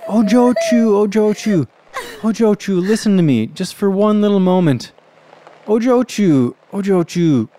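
Man playing a kindhearted storybook gentleman, raised pitch, gentle, earnest, imploring, repetitive pleas. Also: animated, measured pace, a little hiss or hum. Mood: gentle, pleading. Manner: imploring, repeating himself. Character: kind, earnest man pleading gently with a weeping lady.

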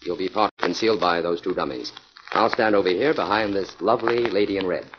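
An actor playing a calm, confident crime investigator in a 1940s radio drama, speaking in a measured, authoritative way. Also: male voice, sits low, lightly acted. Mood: confident, instructing. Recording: muffled, noisy 1940s radio recording.

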